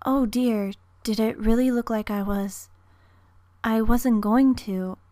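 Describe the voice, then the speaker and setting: Woman acting soft-spoken anime mother, gentle, apologetic, wistful, slow pace, dry close sound. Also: fairly high pitch, steady tone, no echo. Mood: weary, apologetic. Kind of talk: amateur anime dub audition.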